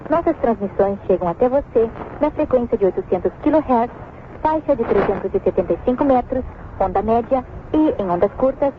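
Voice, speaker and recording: A woman's voice: fairly high pitch, lively intonation, brisk. A woman radio announcer reading a scripted station identification in a formal broadcast style. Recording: tinny phone-like archival radio, faint noise.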